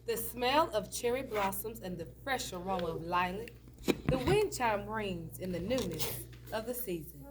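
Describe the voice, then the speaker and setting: A woman's voice: medium pitch, lively intonation, normal speed, heavy background noise, natural voice. A woman reading the part of a friendly, frank, chatty older lady visitor in a stage play. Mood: nostalgic. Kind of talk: stage play table read.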